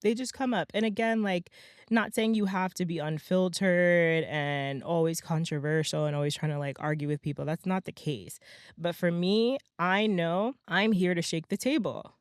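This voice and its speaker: Slightly hoarse woman hosting a chatty podcast. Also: on the low side, normal speed, no room sound, studio quality. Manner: chatty, emphatic, peppers talk with "right?" and "okay?". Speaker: podcast host. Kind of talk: casual motivational podcast monologue.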